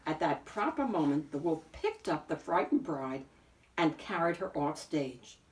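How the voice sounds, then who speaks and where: A woman reading a picture book aloud as an expressive narrator, phrasing deliberately with emphatic swells. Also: deep voice, distant mic, poor audio. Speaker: picture book reader and host.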